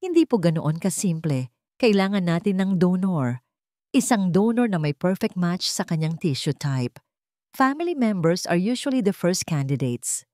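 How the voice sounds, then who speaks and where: A woman's voice: on the low side, sing-song, moderate pace, a little mellow, no room sound, studio quality. A woman voicing a grave, sympathetic doctor. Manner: professional, mixing English medical terms into speech. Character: grave, sympathetic doctor breaking bad news.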